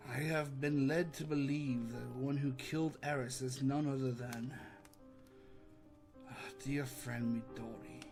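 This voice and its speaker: A man voicing a courteous, grave gentleman leading an inquiry in an animated fan drama, formal and measured. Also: mid-range voice, soft background music, lightly acted. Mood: solemn.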